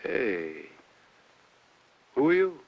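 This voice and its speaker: A man's voice: medium pitch, very animated, very slow, creaky, highs cut off, full character voice. An actor playing a brash, cocky young man in the style of a 1950s film noir. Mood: cocky, teasing. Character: brash, cocky, moody young husband and flier. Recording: noisy old film soundtrack.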